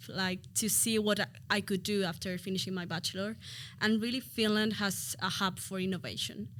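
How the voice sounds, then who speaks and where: A woman's voice: mid-range voice, a bit bright. A woman in her twenties from Spain, whose first language is Spanish, chatting as a podcast guest, thinking aloud with fillers. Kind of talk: podcast interview.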